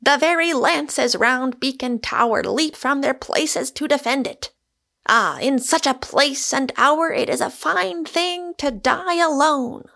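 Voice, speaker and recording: A woman voicing a whimsical, flamboyant idle gentleman with a haughty, mock-heroic and theatrical manner in an audiobook. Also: a little high, lively intonation, measured pace, gravelly, pitched above the performer's own voice, heavily acted. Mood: gleefully defiant. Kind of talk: audiobook character voice.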